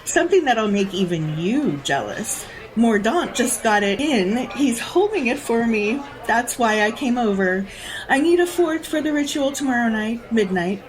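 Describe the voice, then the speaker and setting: A haughty, predatory society lady in a radio play. She drawls slowly and teasingly, her pitch swinging widely with theatrical relish. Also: animated, rough recording. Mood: sly, pressing. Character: predatory, jaded society woman who borrows bodies. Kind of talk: audio drama acting.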